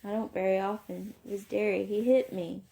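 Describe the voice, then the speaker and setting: A woman's voice: mid-range voice, level tone, a little fast, creaky, mellow, natural voice, low-quality recording. A woman voicing a sensitive teenage boy, a younger brother who is pleading and defensive. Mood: hurt, scared.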